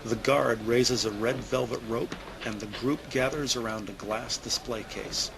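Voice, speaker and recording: A man reading scripted audio drama narration in a deliberate, descriptive storytelling manner. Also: mid-range voice, fairly even intonation, background noise. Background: prominent music.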